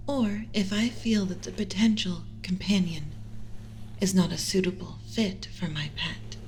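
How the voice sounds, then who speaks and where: Girl doing an alien mommy scientist for asmr roleplay, soft dark whispery voice, slow n tender, kinda lecturing, bit of background noise. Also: medium pitch, natural voice, low-quality recording. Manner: slow, soothing, formal lecturing with motherly tenderness. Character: doting female alien scientist mother, gently possessive of human pet.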